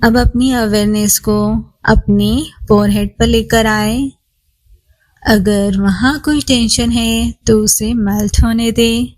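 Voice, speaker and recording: A woman guides a meditation at a very slow pace. She sounds calm and soothing, leaving long, unhurried pauses as she instructs the listener to relax. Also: on the high side, poor audio. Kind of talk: guided body scan meditation.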